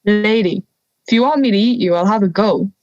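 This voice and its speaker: A woman's voice: mid-range voice, brisk, a little mellow, no room sound, highs cut off, soft background music, lightly acted. A young woman playing a touchy, wry, harmless talking wolf in an audio drama, indignant and teasing. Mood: exasperated.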